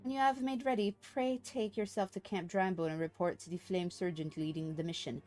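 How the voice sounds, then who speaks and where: A woman's voice: on the low side, fairly even intonation, a little fast, a little mellow, soft background music, close to an everyday voice. A woman reading aloud as a composed, formal leader in a fantasy game, with courtly, earnest manner. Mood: formal, confiding. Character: composed, formal, archaic-spoken order leader giving missions.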